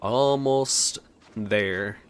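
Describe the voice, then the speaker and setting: A man's voice: fairly low pitch, drawn-out words, smooth. A young man giving casual, easygoing let's play commentary with a playful, sarcastic touch.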